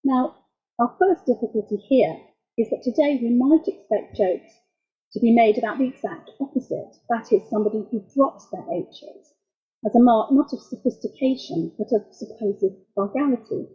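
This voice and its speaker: A middle-aged woman lecturing as a translator, explaining jokes in a wry, measured way. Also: on the high side, slightly rough, muffled recording. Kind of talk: academic talk.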